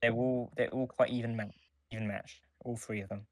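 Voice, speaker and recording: A man's voice: mid-range voice, level tone, brisk, no echo, studio quality. A man co-hosting a podcast, talking casually as he reviews and gives his opinions. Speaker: podcast co-host.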